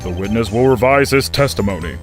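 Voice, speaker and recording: A man's voice: lowish voice, expressive, a little fast, close to an everyday voice. A man voicing a stern older judge in a video game dub, formal and authoritative. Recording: music under the voice.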